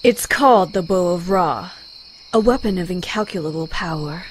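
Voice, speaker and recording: A woman's voice: fairly low pitch, animated, normal speed, a little hiss or hum, natural voice. A woman voicing a fierce, proud, hot-tempered young Amazon warrior in a motion comic, blunt and dramatic. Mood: grave.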